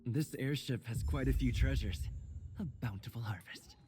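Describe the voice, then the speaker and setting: A man playing a composed, refined video game character, measured and musing to himself. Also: medium pitch, slight background noise, natural voice. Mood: calm, pleased. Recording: quiet game audio under music.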